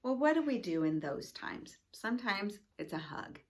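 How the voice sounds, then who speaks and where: A woman's voice: mid-range voice, very animated, moderate pace, slight background noise. A woman with a mid-range voice speaks as a school educator to families and children. She explains in simple, encouraging terms. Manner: encouraging, simple teacherly phrasing.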